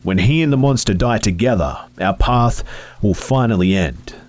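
A man voicing a faceless, vengeful static phantom with a buzzing, hissing voice and a slow, solemn, menacing delivery. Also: on the low side, expressive, a little mellow, pitched above the performer's own voice, close to an everyday voice.

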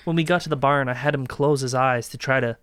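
A man's voice: medium pitch, fairly even intonation, fast talker, a bit bright, clear, resonant tone, no room sound, clean studio sound, lightly acted. A man acting a cruel, gloating killer who coldly taunts his victim in a dramatic crime story reading. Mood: gloating, taunting.

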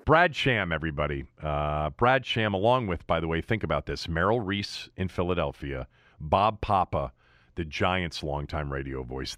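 A man's voice: deep voice, fairly bright tone, no room sound, studio quality. An American man hosting a sports podcast, talking casually.

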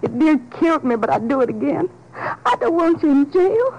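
An actress playing a weary, devoted wife with a rural American Southern accent, plaintive and plain-spoken, in a 1950s radio drama. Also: female voice, sits high, sing-song, fairly quick, highs cut off. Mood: tender pleading. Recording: old 1950s radio broadcast recording.

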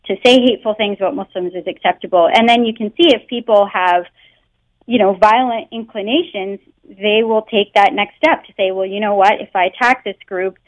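A Canadian woman talking earnestly down a muffled phone line, her voice dry and close. She leans on many "you know"s and "um"s as she explains. Her pitch sits at an ordinary middle height. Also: fairly quick, dry recording. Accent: Canadian English. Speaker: guest researcher. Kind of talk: phone interview. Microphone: telephone.

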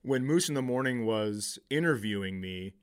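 A man's voice: on the low side, normal speed, smooth. A middle-aged man, a comedian podcast host, telling stories casually in a profane way and acting out what people said. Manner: profane, animated retelling with acted-out quotes. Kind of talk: casual comic storytelling.

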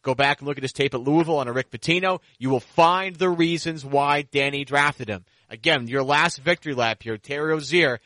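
Man, bright edgy voice, fairly high, casual sports chat, rambling, very dry recording. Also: brisk, few pauses, no echo. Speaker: sports podcast host.

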